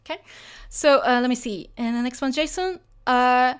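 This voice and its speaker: A woman with a Japanese accent answers viewer questions on a live stream, speaking English as a second language. Her voice sits a little high and comes through smooth and clear. She hesitates often, restarts her sentences and apologizes as she goes. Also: moderate pace. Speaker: Japanese teacher hosting a live Q&A.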